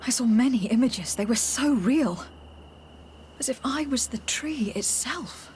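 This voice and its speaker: An actress playing an earnest, composed young noblewoman in a video game, speaking gravely and carefully. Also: on the high side, animated, unhurried, a little mellow, slight background noise. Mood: awed, hushed.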